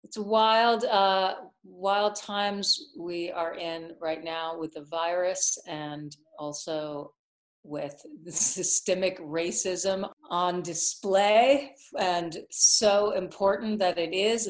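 Woman host talkin earnest, kinda rambling, lowish voice, echoey room. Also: fairly even intonation, takes it slowly. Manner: earnest, rambling with fillers and restarts. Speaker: podcast host. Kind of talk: casual interview. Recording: roomy echo.

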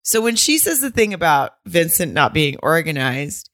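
Low-voiced joking woman co-host. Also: sing-song, normal speed, fairly bright tone, dry recording. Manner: joking, laughs often, rambling asides. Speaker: podcast co-host. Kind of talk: casual recap chat.

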